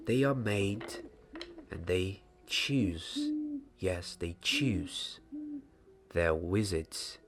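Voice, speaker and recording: A young man playing a gentle, mysterious wizard wand maker in a soft, half-whispered ASMR roleplay, speaking deliberately in short phrases. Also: deep voice, lively intonation, heavily acted. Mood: instructive, reverent. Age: 20s. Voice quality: hushed, breathy, half-whispered. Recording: audible background hiss, small clicks.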